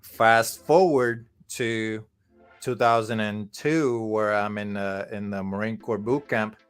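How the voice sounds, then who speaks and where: A man's voice: lowish voice, steady tone, slow, clean tone. A man in his forties, from Puerto Rico, telling a casual story as a podcast guest, rambling with fillers and restarts.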